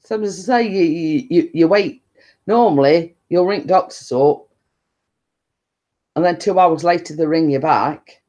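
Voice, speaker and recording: A woman with a deep-toned voice talks slowly and unhurriedly to viewers on a live stream. She meanders through her story, leaning on stock phrases and circling back to the same point. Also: warm tone. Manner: chatty, meandering, repeats stock phrases and laughs often. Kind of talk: rambling casual chat.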